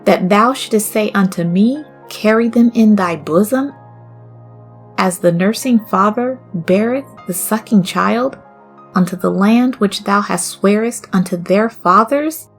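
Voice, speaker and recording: A woman's voice: medium pitch, measured pace, natural voice. A woman voicing a burdened male biblical leader in a scripture reading, pleading emphatically and declaiming his complaint. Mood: anguished, indignant. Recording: music bed under the voice.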